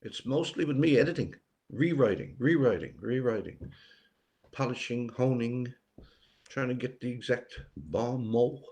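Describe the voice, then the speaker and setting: An older man from Newfoundland, a writer being interviewed, speaking reflectively with pauses and repeated words for emphasis. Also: mid-range voice, darkish tone. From Newfoundland, Canada.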